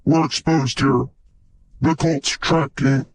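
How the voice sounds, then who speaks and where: Man acting a grim, slow-talking cyborg. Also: steady tone. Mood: grim warning. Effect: pitch-shifted cyborg voice processing. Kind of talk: dramatic game-style character lines.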